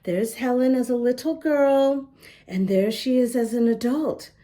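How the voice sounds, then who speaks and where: Give me a gentle woman reading a storybook to children, unhurried and warm-toned. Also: on the high side. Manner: gentle, slow, talks to young listeners. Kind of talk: reading a picture book aloud to children. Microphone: camera close up, showing the book.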